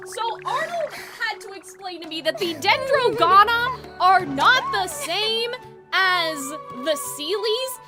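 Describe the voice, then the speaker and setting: Very high-voiced woman streamer over music. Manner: chatty, exclamatory, informal with swearing. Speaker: video game streamer, host. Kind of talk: casual live stream chat with viewers.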